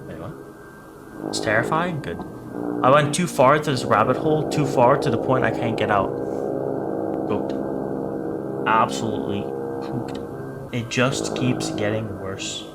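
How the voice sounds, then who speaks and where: A man's voice: medium pitch, measured pace, gravelly, pauses often, very noisy. A man doing a casual livestream reaction, reading captions aloud and commenting in a joking, irreverent, sweary way. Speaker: streamer reacting to horror videos.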